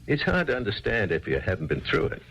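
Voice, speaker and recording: A man's voice: fairly low pitch, huge pitch swings, fast, fairly bright tone, soft background music, exaggerated voice. An actor playing a weary bartender who left his family, in a 1970s radio drama, rambling and searching for words. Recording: dull lo-fi 1970s radio recording.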